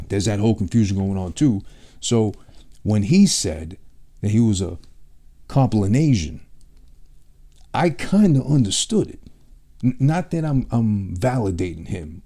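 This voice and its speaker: A young man with a New York accent, originally from Peru, talks through a loose, casual monologue. His voice sits somewhat low and sounds mellow and relaxed. He thinks aloud, rambles, and swears bluntly. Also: moderate pace, pauses often. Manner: blunt and profane.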